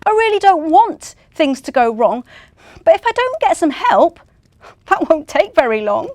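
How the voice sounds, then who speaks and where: Woman putting on an anxious, high-voiced young reindeer. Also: sing-song, a little slow, a little mellow, no room sound, studio quality, full character voice. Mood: pleading. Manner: pleading, earnest. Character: anxious, polite young male reindeer, new to the team.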